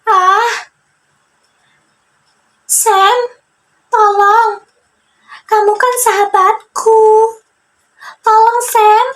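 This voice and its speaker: A very high-pitched, whiny monkey played by a woman storyteller from a children's fable. He is greedy and sly, drawing his words out slowly with exaggerated exclamations. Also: level tone, a bit bright, heavily acted. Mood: pleading, whining.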